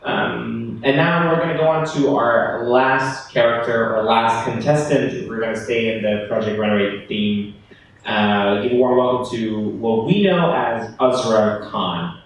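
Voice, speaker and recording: A man's voice: medium pitch, a little slow. Young man hosting in an echoey hall. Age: young adult. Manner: informal, apologetic asides. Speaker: host of a student panel event. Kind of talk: casual live panel hosting. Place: large hall at a live event. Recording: echoey room from far off, faint noise.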